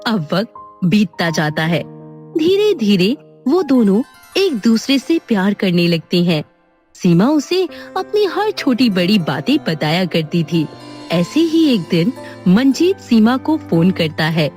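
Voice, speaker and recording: Woman narrator with slow, lively delivery. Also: medium pitch, faint music behind. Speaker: story narrator. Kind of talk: scripted moral story narration.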